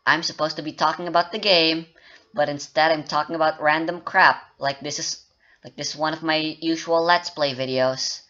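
A man's voice: on the high side, steady tone, normal speed, bright, forceful tone, lo-fi sound. A man rambling casually and joking at his own expense during a game development update. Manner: rambling, self-mocking, joking about his voice. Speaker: indie game developer and YouTuber.

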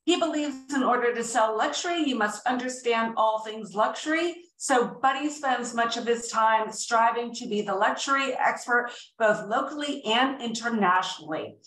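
A woman's voice: fairly high pitch, normal speed, few pauses, a bit bright. A woman hosting an online interview over a video call, enthusiastic with gushing interjections. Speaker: talk show host. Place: room with large windows at home. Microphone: video call webcam at a distance. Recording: echoey room, distant mic, faint noise.

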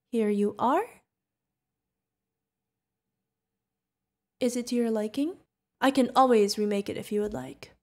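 A woman's voice: on the high side, darkish tone, lightly acted. A woman playing a sweet, curious, friendly feathered alien bartender in an ASMR roleplay, chatting directly to the listener. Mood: amused, helpful.

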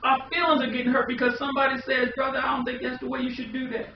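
A man's voice: very high-pitched, completely flat delivery, rapid-fire. A middle-aged American man preaching a sermon in an emphatic way, with repeated phrases and rhetorical questions. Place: small indoor room. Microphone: distant camera microphone. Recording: echoey, muffled, distant camera audio with hiss.

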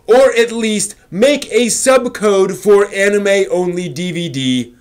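Slow, high-pitched man reading theatrically. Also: clear, resonant tone. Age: middle-aged. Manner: impassioned, overwrought, emphatic delivery with drawn-out words. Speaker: host reading an old forum post aloud. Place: small home room with shelves, indoors.

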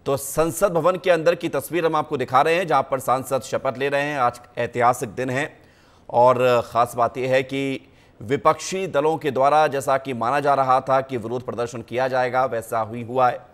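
A male news anchor speaking in measured sentences with frequent hesitation pauses. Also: medium pitch, steady tone, a bit bright.

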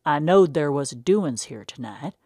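A woman's voice: deep voice, lively intonation, moderate pace, darkish tone, no echo, studio quality. Woman doing a stern old country farmer. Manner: folksy rural speech, stern.

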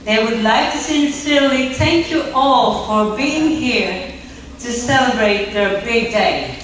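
A middle-aged woman, mother of the bride, slowly reading a welcome speech at a wedding reception, with small restarts. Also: fairly high pitch, fairly bright tone, heavy reverb, distant mic, a little hiss or hum, low-quality recording. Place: large reception hall. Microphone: filmed from across the room on a phone.

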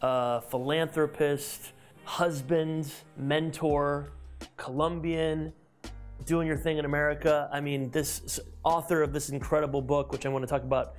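An energetic man in his thirties hosts an interview in a glass-walled office. He talks fast and eagerly, restarting his sentences and piling on fillers. A lapel mic picks him up, and soft music plays faintly behind him. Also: on the high side. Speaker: host. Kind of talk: enthusiastic interview intro.

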